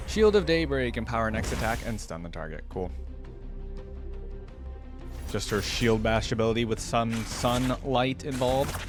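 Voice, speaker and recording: A man doing casual reaction commentary as a channel host, easily impressed and thinking out loud, sometimes reading game descriptions aloud. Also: on the low side.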